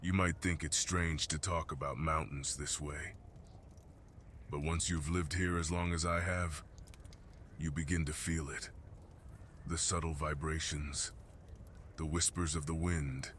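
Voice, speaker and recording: A low-pitched, solitary mountain creature, acted by a man, guards the peaks and speaks with solemn, protective gentleness. The delivery is measured and intimate, in the manner of fantasy ASMR roleplay. Clear ambient noise sits behind the deep voice. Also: fairly even intonation, full character voice. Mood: solemn, reverent.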